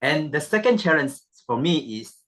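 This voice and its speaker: A man, a non-native English speaker, giving a slow, halting panel talk at a club meeting and sometimes repeating himself. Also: a little high, very animated, fairly bright tone. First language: not English.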